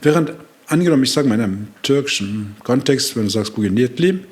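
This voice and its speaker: A man's voice: medium pitch, lively intonation, fast, darkish tone. A man in his sixties, born in Turkey, a psychology professor explaining at length in an interview, acting out quoted dialogue with frequent fillers.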